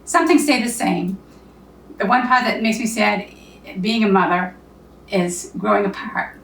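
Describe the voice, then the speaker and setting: A woman's voice: mid-range voice, measured pace, a bit bright, far from the mic. An older woman with a Massachusetts accent, speaking plainly and reflectively as a guest in a casual chat. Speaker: filmmaker's mother, guest. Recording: roomy sound, a bit off-mic.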